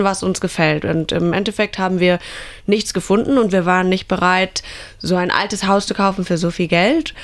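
A woman in her thirties from Germany, telling a story in a casual interview. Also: mid-range voice. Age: 30s. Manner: chatty storytelling. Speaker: interviewee, homeowner.

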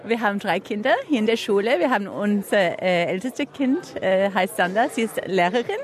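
A woman answers interview questions in learner German. She keeps stopping to hunt for words and fills the pauses with small hesitations. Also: medium pitch, expressive. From Australia. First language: English. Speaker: interviewed parent.

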